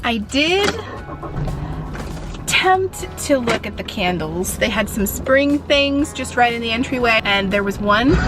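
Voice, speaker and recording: High-voiced young woman vlogging casually. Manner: chatty, expressive, self-deprecating. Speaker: book review vlogger. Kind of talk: casual vlog chat.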